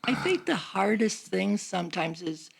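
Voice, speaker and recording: A woman's voice: mid-range voice, sing-song, measured pace, vocal fry, slightly rough. An older woman talking earnestly and explaining things in a casual group discussion as a member of a seniors' group.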